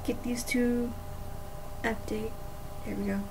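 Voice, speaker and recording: A woman's voice: a little high, takes it slowly. A young adult woman chats casually on a livestream, musing to herself in short bursts as she works. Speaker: animator livestreaming her work. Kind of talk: casual livestream chat while working. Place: indoor room at a desk. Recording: clear steady background noise.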